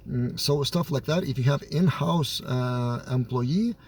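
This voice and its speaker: A man with a Lithuanian accent speaks in an everyday mid-range voice that sounds a little under the weather from a cold. He thinks out loud, with hesitations and fillers between phrases, as a developer explaining his view on camera. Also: medium pitch, measured pace, breathy edge. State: has a slight cold. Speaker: software developer and team lead. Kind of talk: casual to-camera talk.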